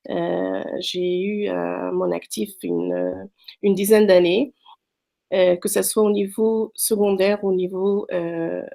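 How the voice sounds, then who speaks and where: Middle-aged woman with a gravelly voice. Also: on the low side, lively intonation, takes it slowly, mellow. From Morocco. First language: French, also speaks Arabic. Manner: hesitant, frequent fillers and restarts. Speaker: French tutor. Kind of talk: self-introduction to prospective students. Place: Quebec, Canada.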